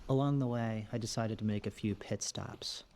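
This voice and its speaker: A man's voice: on the low side, normal speed, a little mellow, a little hiss or hum, natural voice. A man playing a haunted, reflective lone apocalypse survivor, confiding in a plain-spoken found-footage horror monologue. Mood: matter-of-fact.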